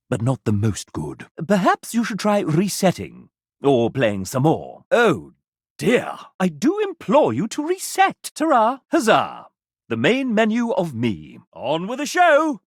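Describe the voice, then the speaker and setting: Casting: a sassy, flamboyant video game show-host mascot. Theatrical and pompous, extremely animated pitch, savoring words slowly. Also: male voice, no echo, clean studio sound, full character voice. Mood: showy host. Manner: theatrical, pompous, showy, flowery diction. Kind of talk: video game character voice lines, game-show hosting.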